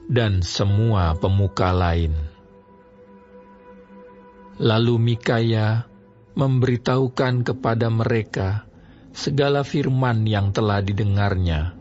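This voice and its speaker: A man narrating a Bible reading in a slow, measured, even manner. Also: on the low side, highs cut off. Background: music.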